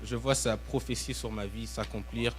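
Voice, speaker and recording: A man's voice: medium pitch, fairly even intonation, moderate pace. Young male preacher over background music. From Belgium. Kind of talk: live sermon with pauses for interpretation. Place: church, speaking to a congregation. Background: musical instrument playing.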